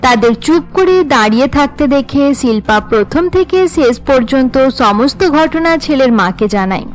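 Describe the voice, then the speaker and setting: A woman's voice: sits high, animated, measured pace, faint music behind, rough recording. A woman giving scripted story narration for a cartoon.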